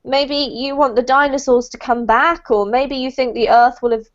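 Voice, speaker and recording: A woman teaching an online English class, greeting new students in a bright, encouraging way over a video call. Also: sits high, fairly even intonation, moderate pace. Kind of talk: friendly online class talk. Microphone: computer mic on a video call. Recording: dull lo-fi call audio.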